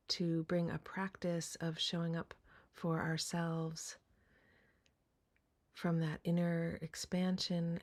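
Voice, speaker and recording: Slow, low-voiced woman guiding meditation. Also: creaky, darkish tone. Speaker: guided meditation teacher. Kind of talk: guided meditation.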